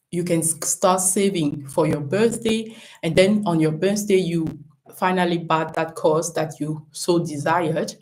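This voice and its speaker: A woman's voice: fairly low pitch, a little mellow. A woman from Cameroon, working as a business coach, talks to viewers in a live stream at home, encouraging them and thinking aloud with frequent "right?" and "um".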